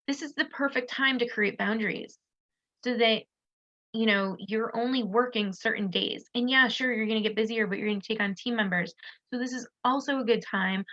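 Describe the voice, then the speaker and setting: A woman coaching small-business owners in a loose, chatty way, stopping to restart her sentences. Her tone is bright and forward, though her nose is stuffed up and her voice is starting to go. The sound is dull and lo-fi, like a home live stream. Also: mid-range voice. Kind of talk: casual live-stream advice talk. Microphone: streaming on a social video platform.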